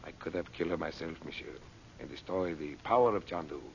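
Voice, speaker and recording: An actor playing a sly, mock-humble sorcerer, over-polite in broken English, in radio melodrama style. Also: male voice, low voice, fast talker, vocal fry. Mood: secretive. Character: sly, obsequious male foreign sorcerer, mock-humble schemer. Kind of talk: radio serial melodrama acting. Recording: muffled, hissy old radio recording.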